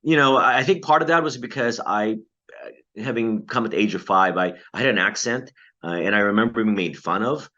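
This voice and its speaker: A man's voice: on the low side, brisk, pushed and bright. A man, a pastor answering interview questions over a video call, thoughtful and hesitant with many fillers. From Philippines. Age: 40s. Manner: hesitant, many ums and uhs, laughs lightly. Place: at home in the Philippines. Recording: dull lo-fi video call audio.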